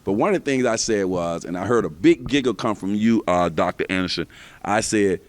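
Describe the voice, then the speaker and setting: A man's voice: on the low side, animated, a little fast. A man hosting and moderating a TV panel discussion, asking questions in a casual, conversational way. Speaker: TV host and moderator.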